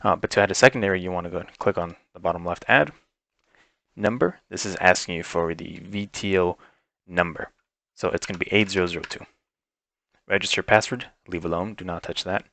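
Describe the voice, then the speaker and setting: Male tutorial narrator, deep voice, informal and explanatory, talking through software setup step by step in a dry, close recording. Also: fairly quick, vocal fry, no echo. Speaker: tech tutorial instructor. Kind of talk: step-by-step software walkthrough, unscripted.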